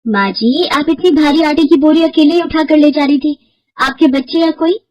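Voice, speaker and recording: A woman's voice: high-pitched, normal speed, darkish tone, dull audio, pitched above the performer's own voice, close to an everyday voice. A woman voicing a kind, caring young village henna artist in a cartoon story, earnest and reassuring. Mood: concerned.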